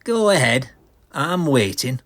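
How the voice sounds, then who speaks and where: A man's voice: medium pitch, very animated, takes it slowly, a bit bright. A man playing a bitter, arrogant, deluded artist in a melodramatic horror comic audio drama. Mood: bitter, sullen. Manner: melodramatic, resentful.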